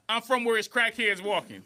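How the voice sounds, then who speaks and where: A man's voice: high voice, a little slow, a little hiss or hum. A man hosting a casual livestream reaction chat, joking and laughing as he talks. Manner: animated, joking, laughs often. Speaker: reaction show host.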